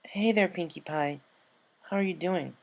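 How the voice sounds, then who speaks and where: A woman voicing a young female cartoon pony, a loyal friend full of guilt, speaking in a halting, emotional, pleading way. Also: on the low side, creaky, darkish tone, pitched above the performer's own voice. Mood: concerned, gentle.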